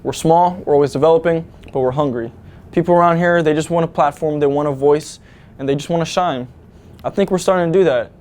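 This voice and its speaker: Young male musician, slightly high voice; keen, heartfelt, loose interview talk. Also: a little mellow. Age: young adult. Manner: earnest, enthusiastic, rambling with restarts. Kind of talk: casual interview answers.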